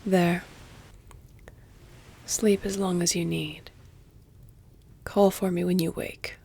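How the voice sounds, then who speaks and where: A woman voicing an elegant, flirtatious vampire in an intimate audio roleplay, with a hushed, breathy, deliberate and formal delivery. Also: fairly low pitch, level tone, exaggerated voice. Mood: fond, content. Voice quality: breathy, hushed, often near whisper. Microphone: close, dry microphone.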